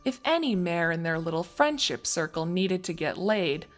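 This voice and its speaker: Woman reading a story out, bit on the low side, animated narration, music in the back. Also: normal speed.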